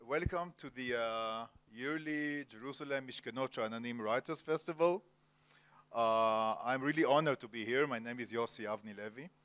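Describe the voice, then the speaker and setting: A man's voice: medium pitch, fairly bright tone, clean tone. A man moderating an onstage literary interview, speaking formally with many hesitations. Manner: formal, many filler pauses. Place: cultural center event hall, Jerusalem.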